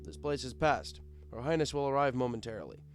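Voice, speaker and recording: A man's voice: medium pitch, very animated, fairly quick, faint music behind, close to an everyday voice. A man playing a stiff, officious pony royal guard captain, speaking in a clipped, formal military way in a storybook reading. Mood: businesslike.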